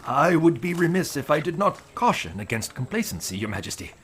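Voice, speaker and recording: Cautious, courtly old viceroy, expressive pitch. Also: male voice, slight background noise. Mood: wary. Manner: formal, measured, courtly. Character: cautious, deferential old royal viceroy, quietly wary. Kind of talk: full-cast audio drama acting.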